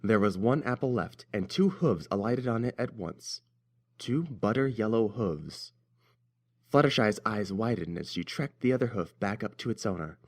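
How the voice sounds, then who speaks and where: A man's voice: lowish voice, lively intonation, moderate pace. A man narrating a scripted story as its reader.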